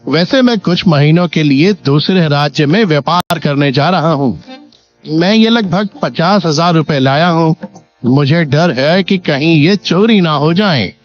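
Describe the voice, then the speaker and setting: A man's voice: fairly high pitch, lively intonation, a little slow. A man playing a wealthy, kindly and clever village merchant in a cartoon moral story, speaking politely and earnestly. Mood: polite, shrewd. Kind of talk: Hindi animated moral story acting. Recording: dull lo-fi sound, faint music bed.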